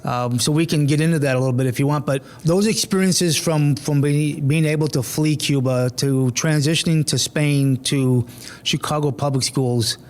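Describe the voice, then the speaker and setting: Superintendent guy interviewing, kinda higher voice, lots of um and uh, restarts his questions. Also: darkish tone. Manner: halting, restarting questions with many "um" and "uh" fillers. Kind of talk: conversational interview hosting.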